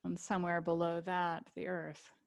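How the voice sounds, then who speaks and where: A woman teaching yoga nidra, guiding a relaxation meditation with gentle invitations and long pauses. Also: lowish voice, completely flat delivery, gravelly.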